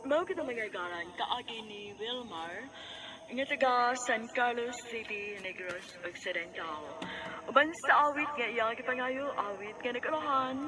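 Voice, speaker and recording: A woman's voice: fairly high pitch, a little slow, noisy background. A woman with a Cebuano accent reading scripted closing announcements as the host of a radio drama program.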